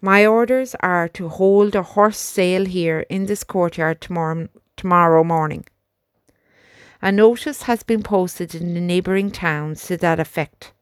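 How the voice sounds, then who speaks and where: A stiff, reluctant army major, male, giving bad news in slow, measured words, as a woman with a lilt reads him. His tone stays firm and subdued. The sound is dry and close. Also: on the low side, clean tone, no echo, lightly acted. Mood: resigned.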